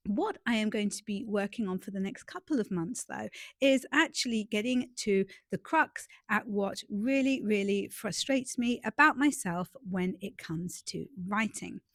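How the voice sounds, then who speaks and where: A woman's voice: mid-range voice, moderate pace, fairly bright tone, no room sound, clean studio sound. A woman with a stuffy, nasal voice from a cold, chatting casually and self-deprecatingly to camera outdoors in a garden. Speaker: romance author and YouTuber.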